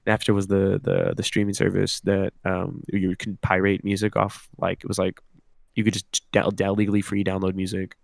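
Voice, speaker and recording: A man's voice: low voice, completely flat delivery, moderate pace, creaky, no room sound, studio quality. A young man in his twenties from Southern California co-hosting a podcast, rambling casually with slang and fillers. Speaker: podcast co-host. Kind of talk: casual chat.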